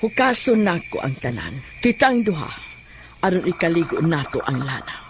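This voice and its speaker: Man playing commanding, seductive occultist woman, highish voice. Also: very animated, normal speed, background noise. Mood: ominous. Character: commanding, seductive female occultist offering dark powers. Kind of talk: horror audio drama. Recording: loud music and insect sounds behind.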